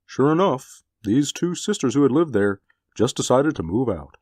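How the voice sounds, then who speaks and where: A man's voice: medium pitch, lively intonation, normal speed, a little mellow, no echo, clean studio sound, close to an everyday voice. An actor playing a breezy, theatrical male stage actor who chats jovially, in 1940s radio drama style. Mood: chatty.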